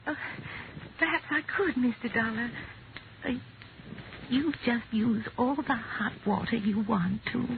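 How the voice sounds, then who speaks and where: A woman's voice: a little high, a little slow, creaky, pauses often. Old lady, scatterbrained, hesitant, officious but kind, 1950s radio drama, narrow noisy sound. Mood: flustered, hesitant. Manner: fluttery, hesitant, politely officious. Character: smiling, scatterbrained, kindly old lady running a mission. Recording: muffled, noisy vintage radio broadcast.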